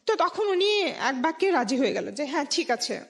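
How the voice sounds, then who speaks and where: A woman's voice: sits high, sing-song, normal speed. A woman with a Bangladeshi accent telling a personal story conversationally as a radio guest. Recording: long hall-like reverb.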